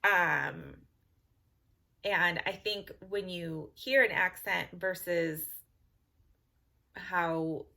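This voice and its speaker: A woman's voice: on the low side, drawn-out words, vocal fry, long pauses, bright, forceful tone. A woman from California with a Californian accent, chatting casually to camera in a giggly, rambling way. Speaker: vlogger.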